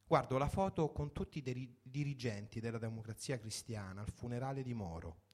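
A man's voice: medium pitch, a little fast. A man reading a first-person story for radio in a reflective, wry manner, in short sentences. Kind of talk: dramatic literary reading for radio.

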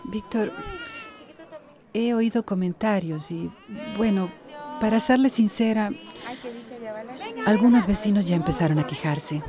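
An actress plays a kindly young woman carrying worries. Her medium-pitched voice confides with small sighs, in a radio novela with a clear crowd bed behind. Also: a little slow, long pauses, over music, natural voice. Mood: worried, confiding. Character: worried, candid pregnant woman, kindly community member. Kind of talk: radio drama acting.

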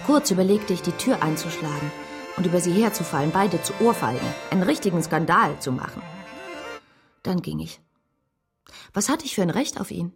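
An actress playing a proud, anxious, lovesick gentlewoman in a German radio drama, earnest and emotional. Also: lowish voice, animated, normal speed, music in the background. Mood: wounded. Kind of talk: German radio drama, dialogue and first-person narration.

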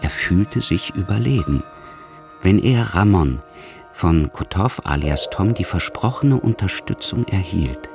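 Narrator for radio drama: low-pitched, sober, measured scripted storytelling. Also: male voice.

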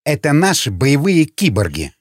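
Guy doing a prim offended lady, scolding and fussy, higher voice, audiobook style. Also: wildly swooping pitch, moderate pace, no echo, clean studio sound. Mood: fierce, scolding. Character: prim, easily offended woman on town council. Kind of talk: audiobook character acting.

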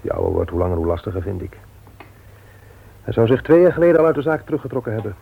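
An actor playing an exasperated but restrained younger nephew in a Dutch radio play, politely argumentative. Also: male voice, fairly low pitch, very animated, quick pace, creaky, slightly rough, full character voice. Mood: weary. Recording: thin phone-like radio sound, noisy.

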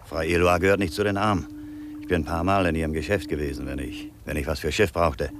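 An actor playing a kindly, gruff middle-aged ship's captain in a 1950s German radio drama, musing and hesitant. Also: male voice, low-pitched, steady tone, fast, background noise, natural voice. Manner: hesitant, musing, repeats himself.

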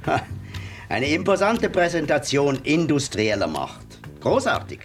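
Smooth creepy swiss guy from basel in a radio drama, swoopy voice, kinda far off. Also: fairly high pitch, huge pitch swings, a little slow. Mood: suave. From Switzerland. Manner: smooth, theatrical, quietly menacing. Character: suave male Swiss visitor making an offer. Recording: distant mic with music behind.

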